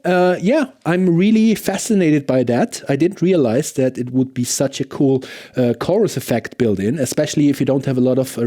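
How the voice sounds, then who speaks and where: A man with a fairly high-set voice and a soft, mellow tone presents a casual gear review. He talks conversationally, like a host walking viewers through a demonstration, and often tags his points with a questioning "right?".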